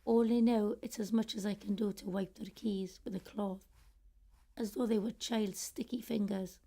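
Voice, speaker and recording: Radio drama: female actor as middle-aged British woman, reflective, regretful, soft dark tone, mid-range pitch. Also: steady tone, normal speed, breathy edge. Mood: wistful, nostalgic. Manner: reflective, confiding storytelling. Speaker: actor in a student radio play. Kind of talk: first-person radio drama monologue.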